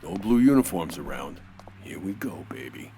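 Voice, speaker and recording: An actor playing a sardonic, menacing gangster boss in a comedic old-time radio crime drama, husky and clipped. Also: male voice, sits low, big pitch swings, normal speed, very noisy. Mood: cold, impatient. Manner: clipped wisecracking tough-guy talk.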